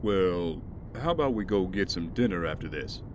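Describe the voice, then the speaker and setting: Guy playing a concerned driver in an audio drama, low gentle voice, bit of background noise. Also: animated, moderate pace. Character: caring, easygoing male driver, protective and concerned.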